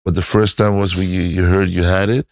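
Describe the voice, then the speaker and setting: Deep-voiced male host, probing questions. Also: flat delivery, fairly quick, dry recording, clean studio sound. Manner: attentive, probing questions, frequent affirmations. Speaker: interview host. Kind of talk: conversational interview.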